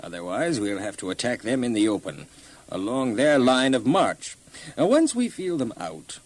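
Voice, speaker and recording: A man's voice: medium pitch, very animated, moderate pace, dry recording. An actor playing a cautious, cunning general, obsequious and a little hesitant before his king, in an audio Bible drama. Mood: cautious, deferential. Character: deferential army general, cautious, cunning and ruthless.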